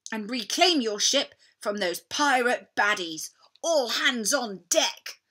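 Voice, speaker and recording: Young storybook boy hero, earnest and plucky, high bright voice female reader. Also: animated, slow pace, exaggerated voice. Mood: commanding. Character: brave, eager young schoolboy adventurer.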